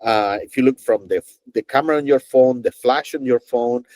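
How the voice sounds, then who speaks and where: Man, middle-aged, halting interview guest, dry close mic. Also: mid-range voice, a little mellow, no echo. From Chile. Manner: halting, many fillers and restarts, storytelling. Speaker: NASA engineer, guest. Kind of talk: conversational interview answers.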